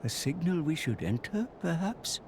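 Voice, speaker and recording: A man voicing a grave, formal elder companion in a video game, with a measured way of talking. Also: fairly high pitch, very animated, slight background noise. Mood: grave, thoughtful. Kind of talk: video game cutscene acting. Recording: game music behind.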